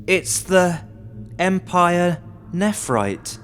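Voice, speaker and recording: A man voicing a pleading, quick-witted young retail worker turned thief in an audio drama, explaining and arguing his case. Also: high-pitched, animated, fairly bright tone, a little hiss or hum, pitched above the performer's own voice, exaggerated voice. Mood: uneasy explaining. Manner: explanatory, argumentative. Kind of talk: audio drama character reading.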